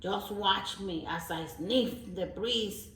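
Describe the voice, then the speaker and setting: A woman voicing a big, confident bear in a children's storybook, giving measured, theatrical instructions. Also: medium pitch, a bit bright, recorded from a distance, pitched below the performer's own voice. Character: big confident bear showing off how to sneeze. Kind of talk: children's picture-book read-aloud. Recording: echoey room.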